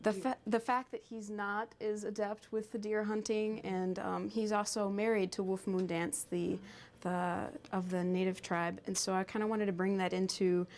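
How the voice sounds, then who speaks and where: A woman's voice: mid-range voice, flat delivery, normal speed, a little mellow. Young woman informally explaining her work. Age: young adult. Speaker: costume design intern. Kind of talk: interview explanation.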